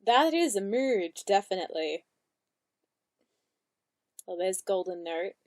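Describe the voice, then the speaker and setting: A woman's voice: mid-range voice, animated, takes it slowly, a little mellow, no echo. A woman giving casual, off-the-cuff commentary as the host of an online reaction.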